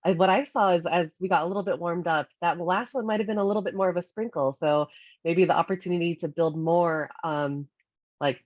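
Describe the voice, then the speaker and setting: A woman's voice: sits low, lo-fi sound. A woman from Hawaii with an American accent, giving an informal town hall talk online in a reflective, explanatory manner. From Hawaii, United States. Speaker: discussion speaker. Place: remote online meeting.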